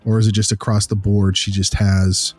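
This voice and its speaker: A man's voice: on the low side, level tone, normal speed, darkish tone, husky. A man in an improvised role-play as a consulting analyst, talking casually and asking probing questions.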